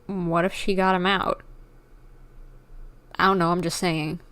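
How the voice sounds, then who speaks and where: A woman's voice: lowish voice, little pitch movement, measured pace, fairly bright tone. A woman streaming a game with casual live reactions and blunt, sardonic asides.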